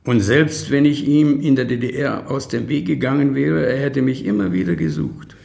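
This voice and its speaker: An actor playing a weary, resentful old man giving testimony in a radio drama, deliberate and terse, with a creaky, gravelly voice. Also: medium pitch, darkish tone. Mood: weary, resigned.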